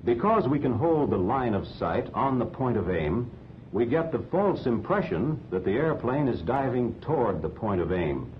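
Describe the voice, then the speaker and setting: An American man reading scripted instructional narration for a 1940s training film in a measured, didactic way. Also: big pitch swings. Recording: dull 1940s film soundtrack, rumbling noise.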